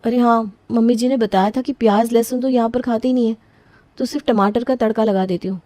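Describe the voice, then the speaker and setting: A woman plays a harried young daughter-in-law in a family storybook cartoon. Her voice is high and soft-toned, pleading and grumbling by turns. The recording is dry and close. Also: fairly even intonation, a little fast, dry recording, natural voice. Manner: flustered, pleading, whiny complaining. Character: young newlywed daughter-in-law, flustered and put-upon. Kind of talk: scripted family story voicing.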